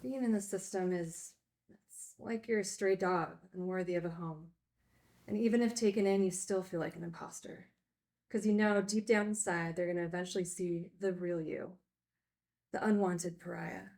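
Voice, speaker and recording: A woman's voice: on the low side, steady tone, normal speed, darkish tone, natural voice. Frank, wry woman, recovering addict acted by a woman. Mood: reflective, confessional. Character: Frankie, candid woman, recovering addict and group-home teacher. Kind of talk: naturalistic screenplay table read.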